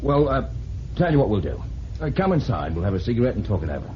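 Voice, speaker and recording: A man's voice: medium pitch, big pitch swings, quick pace, lightly acted. A man playing a wary young London workman in a vintage radio drama, speaking in a hesitant, terse way. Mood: coaxing. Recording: muffled, noisy old radio recording.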